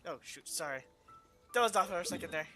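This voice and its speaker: A woman's voice: lowish voice, animated, fast talker, fairly bright tone, soft background music. A woman streaming a game, giving casual, offhand and wry commentary as she plays. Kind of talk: casual live playthrough commentary.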